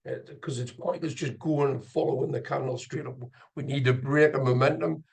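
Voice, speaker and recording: A man giving casual live commentary as an instructor, gravelly and husky, sometimes muttering to himself as he explains. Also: medium pitch, fairly even intonation, a little fast. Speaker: trading instructor and video host. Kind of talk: casual live screen-share commentary on chart trading.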